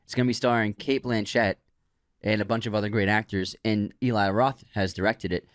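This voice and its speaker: A man's voice: lowish voice, steady tone, brisk, dry recording. Man chatting about trailers, dry sound. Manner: enthusiastic, adds facts and reactions. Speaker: podcast co-host. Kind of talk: casual movie chat.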